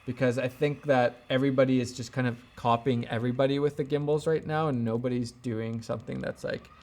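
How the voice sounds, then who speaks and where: Creaky-voiced man chatting off the cuff. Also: medium pitch, steady tone, fairly quick, a little mellow. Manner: off-the-cuff, rambling, thinking aloud, backtracking mid-thought. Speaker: camera gear YouTuber hosting a livestream. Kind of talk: casual livestream chat, answering viewer questions and giving gear opinions.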